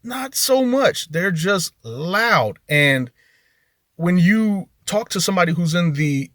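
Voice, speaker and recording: A man's voice: sits high, big pitch swings, slow pace, fairly bright tone, no echo, clean studio sound. A middle-aged man hosting a car audio video in a casual, conversational rant, leaning on key words for emphasis.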